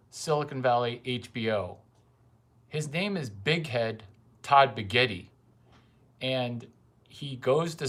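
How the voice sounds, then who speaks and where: A man's voice: mid-range voice, fairly bright tone. A man giving a rambling, crude casual monologue full of profane asides.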